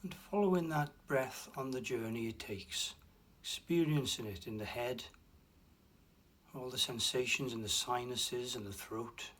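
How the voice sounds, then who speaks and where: A man guiding a relaxation meditation, speaking slowly and softly with long pauses and a breathy hush at times. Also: medium pitch. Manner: slow, soft and soothing, with long pauses. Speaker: meditation guide. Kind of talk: guided meditation.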